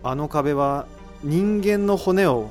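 A man's voice: fairly high pitch, animated, slow pace, a little mellow, soft background music. A man acting in an audio drama as an analytical figure who reasons out a mystery in explanatory speech. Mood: grave, revealing. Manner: explanatory, rhetorical questioning. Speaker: voice actor in an amateur audio drama. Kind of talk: dramatic dialogue, explaining deductions.

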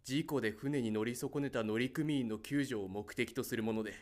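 A man's voice: mid-range voice, moderate pace, darkish tone, natural voice. A man playing a stern, rule-bound spaceship captain in an audio drama, curt and commanding. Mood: exasperated, indignant.

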